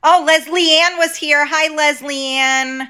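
A woman's voice: high voice, fairly even intonation, unhurried, very noisy. A woman with a New York accent co-hosting a live podcast, talking casually and rambling with plenty of fillers. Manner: chatty, rambling, lots of fillers. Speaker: podcast co-host.

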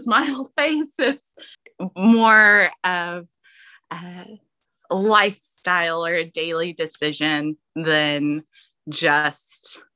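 A young woman with a light Texan accent speaks slowly and thoughtfully as a podcast guest. Her voice is bright and forward, and her talk is earnest and reflective. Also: mid-range voice. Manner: slow, earnest, reflective, with laughs. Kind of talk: casual interview chat.

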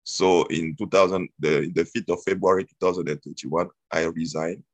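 A man's voice: low-pitched, fairly even intonation, moderate pace, no echo. A man, telling his story as an interview guest, hesitant with many fillers and restarts. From Cameroon.

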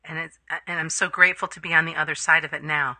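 A woman's voice: sits low, fairly even intonation, fast talker, pushed and bright, no room sound. A woman hosting a casual interview, asking questions and affirming her guest. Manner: supportive, affirming listener. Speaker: interview host.

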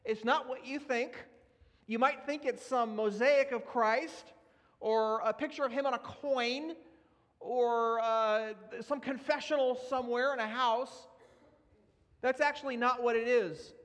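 A man's voice: very high-pitched, steady tone, unhurried, fairly bright tone. A man preaching a sermon, earnest and emphatic as he works through scripture readings. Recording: long reverb of a large hall.

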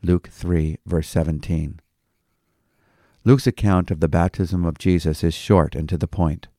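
A man with a low, relaxed voice delivers devotional Bible commentary as a pastor. His manner is measured and expository, and the sound is close and dry, recorded in a small studio. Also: no room sound. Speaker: pastor and host.

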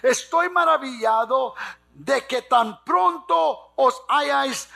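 A man preaching a fervent sermon in an emphatic, repetitive, exhorting way, calling on the congregation to respond, with a gravelly, husky voice. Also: extremely high pitch, slow, sharp tone.

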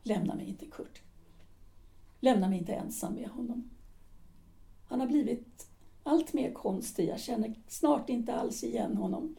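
An actress playing an embittered middle-aged wife and former actress, trapped in an unhappy marriage, in an audio drama. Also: female voice, a little high, gravelly, long pauses, warm tone. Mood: despairing. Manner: bitter, despairing. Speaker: actor.

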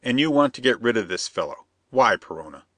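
A man's voice: medium pitch, big pitch swings, a little fast, fairly bright tone, no room sound. A man voicing a big male bandit who asks blunt, suspicious questions. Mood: wary.

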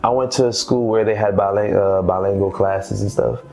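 Male interview guest from Haiti, native Creole speaker. Low, creaky voice, relaxed and slangy, repeats himself for emphasis. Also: a little fast. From Haiti, later Boston. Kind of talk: casual interview chat.

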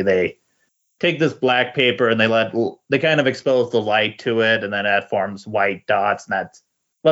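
Lowish-voiced man in halting casual chat. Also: fairly bright tone. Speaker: podcast guest. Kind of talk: casual chat about comic books. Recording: dull, lo-fi recording.

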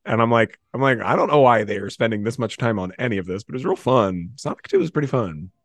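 A man chatting casually in an excitable, effusive way. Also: medium pitch, fast, dry recording. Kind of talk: casual chat.

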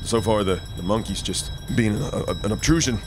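A man's voice: on the low side, fairly quick, background noise, lightly acted. A man playing a bodyguard in an improvised comedy scene, speaking politely and hesitantly in a formal way. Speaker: bodyguard in the star's entourage.

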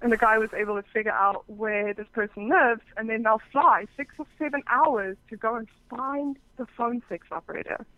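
A woman's voice: on the high side, normal speed, hardly any pauses, no room sound. Chatty woman, muffled line. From South Africa. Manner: chatty, frank, many restarts. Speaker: guest, phone sex operator. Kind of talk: casual interview chat. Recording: dull muffled phone-like sound.

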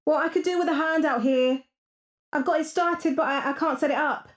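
A woman's voice: high voice, brisk, natural voice. A woman playing an exasperated wife and mother in an audio drama, blunt, bossy and impatient, in a roomy space. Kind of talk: naturalistic audio drama dialogue. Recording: roomy, echoing household sound.

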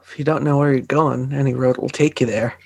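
A man with a slightly hoarse voice chatting casually on a podcast, giving brief, wry, laid-back replies. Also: medium pitch, steady tone, a little fast, a little mellow, dry recording. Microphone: microphone on a boom arm.

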